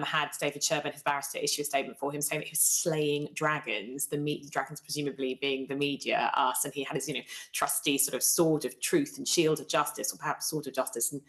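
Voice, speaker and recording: Low-pitched woman commentator. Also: fairly bright tone, low-quality recording. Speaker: news commentator, interview guest. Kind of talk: analytical news commentary.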